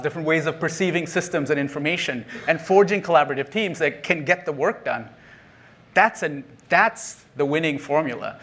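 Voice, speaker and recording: A man's voice: on the high side, a bit bright. A middle-aged man from Sri Lanka speaks from a conference stage in a slightly raised voice. He talks in a loose, chatty way, full of ums, and laughs at his own jokes. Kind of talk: keynote talk to an audience.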